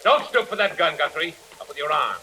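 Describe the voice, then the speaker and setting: An actor playing a devious, smooth and menacing villain in a 1940s radio thriller. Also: male voice, on the high side, animated, a little fast, pushed and bright. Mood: menacing, desperate. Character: devious male villain, ex-FBI man posing as ally. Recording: noisy old radio recording.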